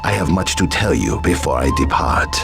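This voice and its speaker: A man playing a defeated but dignified crime lord in a video game, speaking in a formal, measured, grave manner. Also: a bass voice, big pitch swings, creaky, music in the background, heavily acted. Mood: solemn, formal. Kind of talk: video game cutscene acting.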